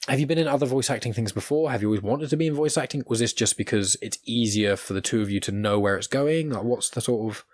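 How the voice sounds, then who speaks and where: Fast-talking man hosting a casual interview. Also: medium pitch, no echo. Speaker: podcast host interviewing guests.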